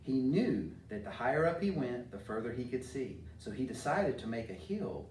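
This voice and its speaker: A man's voice: medium pitch, very animated, moderate pace, darkish tone. A middle-aged American man speaking informally to children as a community leader and storybook reader. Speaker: mayor reading to children. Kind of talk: informal talk and storybook read-aloud for children. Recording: roomy echo, distant mic, faint noise.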